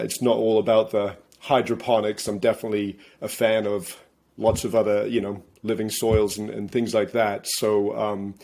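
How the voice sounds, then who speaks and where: An English-accented man in his thirties, speaking as a guest in casual conversation. He talks haltingly, circling back with restarts and fillers. He is heard through a video call from a room at home. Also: fairly low pitch, vocal fry, darkish tone. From England. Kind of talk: casual interview.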